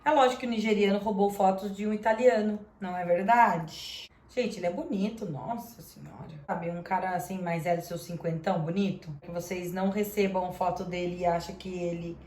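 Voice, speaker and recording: A woman's voice: fairly low pitch, fairly even intonation, fairly quick, hardly any pauses, darkish tone. Woman, Brazilian accent, host telling a story to the camera, indignant, addresses the audience, roomy recording. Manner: indignant, talks straight to the audience. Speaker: channel host. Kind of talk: storytelling to viewers, reading out messages. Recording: roomy echo.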